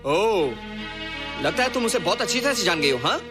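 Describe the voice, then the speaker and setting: A high-voiced, brash young suitor. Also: male voice, very animated, fairly quick, over music. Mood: jealous, confrontational. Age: young adult. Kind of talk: Bollywood film dialogue mixing in English.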